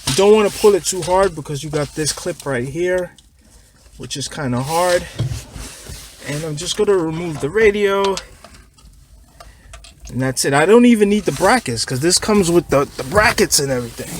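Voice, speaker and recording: A man's voice: a little high, lively intonation, normal speed, long pauses. A man presenting an unscripted hands-on installation tutorial, talking informally through each step as he works. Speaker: car audio installer, presenter. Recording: noisy, clicks and handling of parts.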